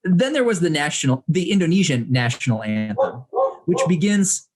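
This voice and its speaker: A young adult man from the United States, reading aloud from his own book to an audience in a measured way with dry humor. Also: fairly high pitch, expressive. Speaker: author reading and speaking to an audience.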